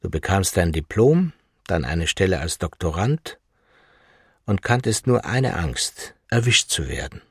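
Deep, very husky, gravelly man. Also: very animated, unhurried, no echo, clean studio sound. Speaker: audiobook narrator. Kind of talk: literary second-person narration.

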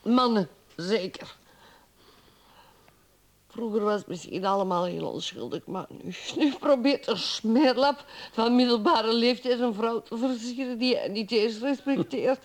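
A woman's voice: on the high side, unhurried, a little mellow, lightly acted. An actress in a radio play playing a defensive, embittered middle-aged wife who drinks, arguing emphatically with her husband. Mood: indignant. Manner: indignant, emphatic, pleading.

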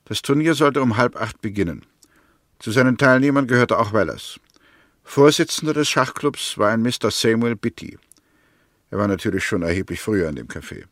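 An actor playing a firm, methodical prosecutor in a 1960s German radio drama, speaking formally and precisely. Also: male voice, on the low side, lively intonation, normal speed, fairly bright tone, no room sound, clean studio sound. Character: male prosecutor, methodical, firm, convinced of guilt. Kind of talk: 1960s German radio drama acting, courtroom-style argument.

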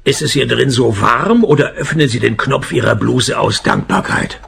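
An actor playing a wry, world-weary private detective who narrates his cases in a laconic, deadpan radio play style. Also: medium pitch, moderate pace, vocal fry, a little hiss or hum. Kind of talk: German-language detective radio play, first-person narration and dialogue.

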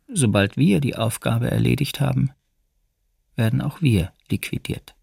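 A man's voice: medium pitch, lively intonation, unhurried, creaky, darkish tone, slightly rough, dry recording, clean studio sound, exaggerated voice. An actor playing a grave, watchful male camp prisoner recounting wartime horror in a radio documentary drama. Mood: grim.

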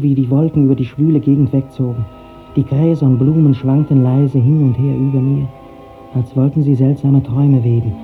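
An actor playing a dreamy, earnest young romantic poet, reflecting lyrically and wistfully in a 1950s German radio drama. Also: male voice, mid-range voice, lightly acted. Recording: 1950s radio recording, thin narrow band, music.

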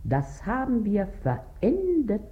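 A woman's voice: medium pitch, wildly swooping pitch, slow. An actress playing a shrewd, bossy middle-aged washerwoman, earthy and brusque, in 1950s German radio drama style. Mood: stubborn haggling. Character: shrewd, bossy working-class washerwoman and mother, haggling hard. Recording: dull 1950s radio recording.

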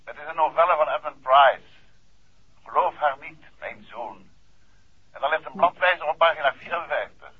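An actor playing an affectionate, bantering husband, an urbane detective, heard through a telephone filter in a 1960s Dutch radio play. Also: male voice, a little high, normal speed, long pauses, slightly rough, no echo. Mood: jovial, teasing. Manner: jovial, teasing. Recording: tinny, dry telephone line, vintage radio.

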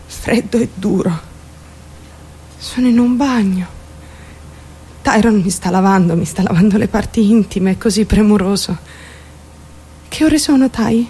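An actress playing a naive, lovestruck and traumatized young woman in an intimate, halting radio drama monologue. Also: mid-range voice, dark tone, husky, full character voice. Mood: numb, fragile. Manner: intimate, halting, childlike self-questioning. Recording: music behind the voice. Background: music.

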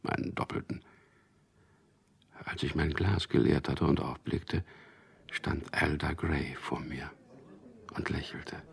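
An actor in a German radio drama playing a suave, ironic, detached charmer who also narrates in the first person. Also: male voice, steady tone, slow, pauses often, heavily acted. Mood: detached narrating. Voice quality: gravelly, very deep. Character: sardonic charming ad copywriter and womanizer, cool, detached narrator.

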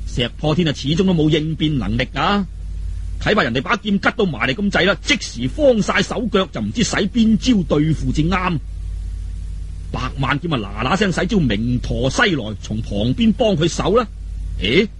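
A man's voice: a little high, slight background noise. A man with a Cantonese accent tells a martial arts tale in a colloquial, dramatic storytelling style, full of exclamations.

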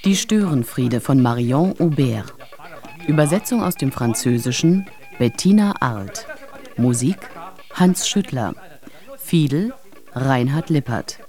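Mellow, measured announcer reading credits. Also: medium pitch, animated, soft background music.

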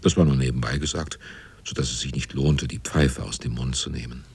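A grave seafarer narrating a radio drama. The voice is extremely deep and rough, recorded close and dry. He delivers literary lines in short, measured, dramatic phrases. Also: fairly even intonation, a little mellow, no echo, full character voice. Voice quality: very deep, husky. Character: grave seafarer recounting a hard voyage.

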